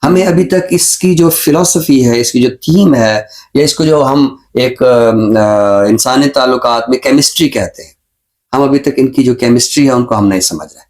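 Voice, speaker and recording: A middle-aged Pakistani man, a professor and poet, speaking in a measured, professorial way and repeating phrases for emphasis on a literary show. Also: mid-range voice, a little mellow. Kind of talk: reflective literary talk and poetry recitation.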